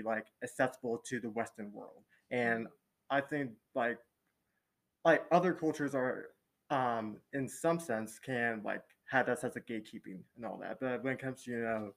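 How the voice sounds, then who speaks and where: A young man speaks informally and with opinion in a group discussion, using slang and swearing. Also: mid-range voice. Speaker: panel participant.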